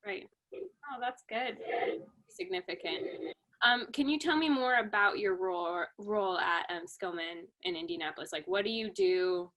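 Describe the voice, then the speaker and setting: A woman's voice: mid-range voice, moderate pace, fairly bright tone. A woman hosting an interview over a video call, asking friendly, upbeat questions.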